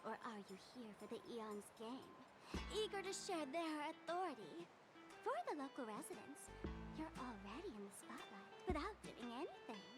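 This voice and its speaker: A mocking, playful trickster, fairly high and lively as she toys with the others. She sounds theatrical and amused. Loud game music runs under her. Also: moderate pace. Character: teasing, mischievous young woman trickster. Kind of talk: game dub acting.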